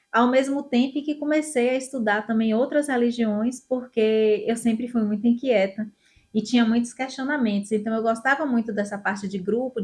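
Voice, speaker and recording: A middle-aged woman answers interview questions about her own life. She talks in a lively, chatty way and reels off memories in quick lists. Her voice sits at an ordinary middle pitch. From Salvador, Bahia, Brazil. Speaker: police chief, guest. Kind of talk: interview answers, casual storytelling.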